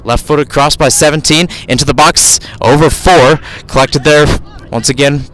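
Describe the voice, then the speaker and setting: A man's voice: fairly high pitch, steady tone, normal speed, fairly bright tone. A man calling live soccer play-by-play for radio, describing the action as it unfolds and often correcting himself mid-phrase. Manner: running description with frequent self-corrections.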